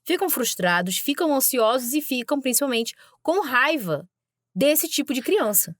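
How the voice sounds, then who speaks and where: A woman's voice: sits high, very animated, normal speed, dry recording, clean studio sound. A woman hosting a podcast, giving sarcastic, emphatic commentary on video clips she plays. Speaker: podcast host.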